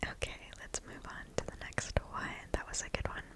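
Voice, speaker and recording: A woman reading stories aloud for ASMR in a breathy, hushed whisper, close to the microphone. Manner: slow, hushed, deliberate.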